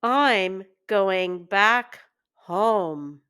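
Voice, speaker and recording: A woman voicing a timid, homely male country mouse with slow, earnest delivery for children. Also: medium pitch, sharp tone, clear, resonant tone. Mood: scared, resolved.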